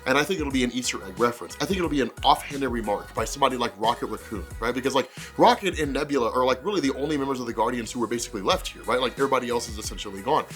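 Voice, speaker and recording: A man's voice: mid-range voice, racing, few pauses, fairly bright tone, faint music behind. A man with a congested, cold-affected voice giving casual, rambling fan commentary as a video host, repeating himself and peppering his talk with "like". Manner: rambling, conversational, repeats phrases and says like often. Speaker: comics explainer video host.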